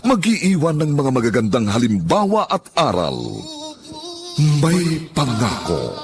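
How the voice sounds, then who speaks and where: A man's voice: medium pitch, huge pitch swings, takes it slowly, soft background music. A man giving the dramatic opening narration for a radio drama, lofty and sweeping. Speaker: radio drama program announcer.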